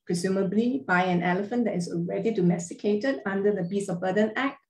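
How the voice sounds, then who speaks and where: A woman giving a formal online lecture over slides, explaining laws carefully and measuredly, with occasional fillers. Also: mid-range voice. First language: not Thai. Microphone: video call. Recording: roomy echo on a video call.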